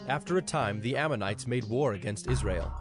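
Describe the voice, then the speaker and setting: A man's voice: medium pitch, expressive. Solemn male scripture narrator over music. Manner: measured, solemn.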